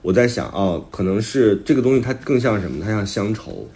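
A man's voice: fairly low pitch, expressive, fairly quick, mellow, slightly rough. A man who is an author and podcast co-host, talking reflectively and explaining his thoughts in conversation.

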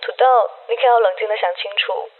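A woman's voice: a little high, fairly even intonation, fast talker, husky. A woman playing a frank, earnest young friend in a radio drama phone conversation. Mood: grave, reproachful. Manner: blunt, earnest. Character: frank young female friend breaking hard news. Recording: tinny phone line, faint noise.

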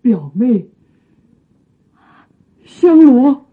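An actor playing a courteous, tender young musician in a Chinese radio drama, with humble, emotive, old-fashioned phrasing. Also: male voice, very high-pitched, sing-song, drawn-out words, slightly rough, heavily acted. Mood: tender, emotional. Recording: muffled, narrow phone-like sound.

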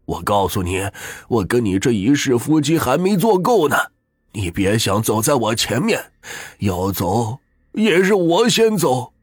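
A man voicing a fierce old husband who shouts and curses to protect his dying wife in a spoken ghost story. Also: mid-range voice, very animated, a little slow, husky, dry recording, clean studio sound, voice pushed up. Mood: grieving. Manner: shouting, cursing.

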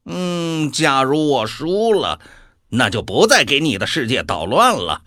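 Languid, mocking devil played by a man, slow and animated. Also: on the high side, no echo, clean studio sound, full character voice. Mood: sly, scheming. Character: mocking, bored male devil who favours death. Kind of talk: audio drama acting.

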